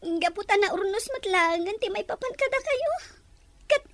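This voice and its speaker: Woman acting a very high-voiced, earnest young daughter. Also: animated, moderate pace, a bit bright, a little hiss or hum. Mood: moved, tearful. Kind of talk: Ilocano radio-drama acting.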